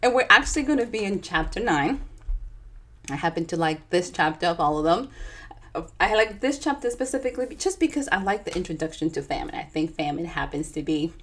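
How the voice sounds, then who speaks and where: A woman with a Dominican accent, an author chatting with viewers and then reading her own book aloud in a live session. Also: lowish voice. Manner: chatty and animated, talking straight to viewers.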